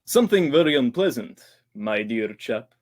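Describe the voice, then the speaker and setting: Casting: male actor as a courteous, sinister older gentleman murderer, formal diction, quiet menace, vintage radio thriller. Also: medium pitch, big pitch swings, moderate pace, close to an everyday voice. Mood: suave menace. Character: suave menacing older male killer, politely threatening. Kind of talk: old-time radio drama acting.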